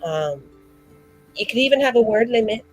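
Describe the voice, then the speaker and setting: A woman's voice: fairly high pitch, expressive, normal speed, faint music behind. A woman player speaking in character in a tabletop game, chatty and rambling with constant fillers. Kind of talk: in-character roleplay.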